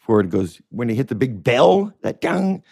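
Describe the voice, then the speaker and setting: A man in his fifties from Connecticut with a deep, masculine voice, chatting in a rambling, profane, self-mocking way as a podcast guest. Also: very animated, moderate pace, dark tone, dry recording, clean studio sound. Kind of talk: casual chat.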